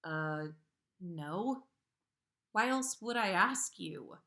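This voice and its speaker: A woman's voice: fairly low pitch, lively intonation, drawn-out words, sharp tone, heavily acted. A woman voicing an awkward, impatient, bookish teenage girl in a story, hesitant and easily exasperated.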